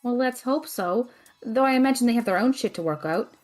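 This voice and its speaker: A woman playing a stern, stressed young female magic student in an audio drama, with precise, deadpan, teasing delivery. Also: a little high, brisk, soft background music, close to an everyday voice. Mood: stressed, bossy. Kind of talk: audio drama acting, dry banter.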